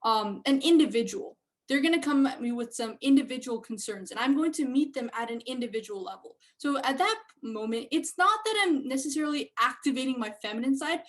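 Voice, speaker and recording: A woman speaking as a panelist in a casual discussion, thoughtful and articulate. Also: fairly high pitch.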